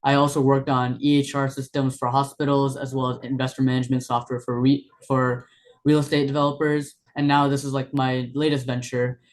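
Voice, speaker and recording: A man's voice: mid-range voice, brisk. A young man from Florida, a university student guest who introduces himself with uneven pacing and some fillers. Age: young adult. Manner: flat delivery, uneven pace, with fillers. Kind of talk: self-introduction in an interview.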